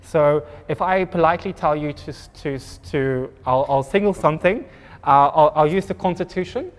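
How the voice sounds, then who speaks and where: A man from Pretoria with an Afrikaans accent, moderating a public panel talk in a halting way, with many ums and restarts. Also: a little high, big-room sound. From Pretoria, South Africa.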